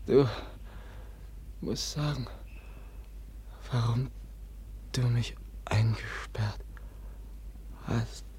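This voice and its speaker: An actor playing a frightened, gravely wounded, childlike young man in a German radio play, gasping out broken fragments. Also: mid-range voice, very slow, long pauses, a little mellow, slight background noise. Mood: terrified, pleading. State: weak, delirious, gasping.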